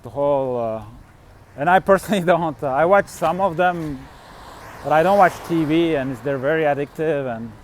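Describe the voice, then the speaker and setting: A man talks in a loose, casual way, filling his sentences with little fillers as he pauses to find words. His voice sits a little on the high side. A faint hum of noise runs underneath. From Turkey. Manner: halting, full of "you know" and "like" fillers. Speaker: touring musician and vlogger. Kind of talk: casual chat.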